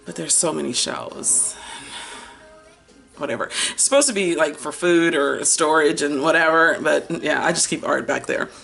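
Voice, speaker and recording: An American woman in her fifties talking casually as a book vlogger, chatty and animated, wandering off on tangents and drawing out words for emphasis. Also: sits low, normal speed, gravelly, faint music behind. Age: 50s. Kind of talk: casual rambling chat to camera.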